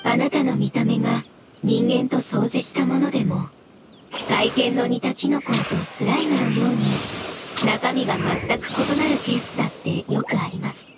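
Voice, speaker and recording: A woman's voice: fairly high pitch, steady tone, normal speed, heavy background noise, natural voice. A woman voicing a polite, earnest mushroom-like elemental creature in an anime game, speaking in a formal, literal-minded, pedantic way. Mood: earnest, explanatory. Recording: loud music behind the voice.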